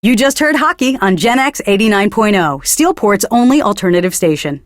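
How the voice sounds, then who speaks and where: A woman's voice: mid-range voice, expressive, brisk, no echo, clean studio sound, close to an everyday voice. An actress playing a bookish, pretentious young female alternative radio DJ in a video game, delivering earnest literary patter. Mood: smooth promotion.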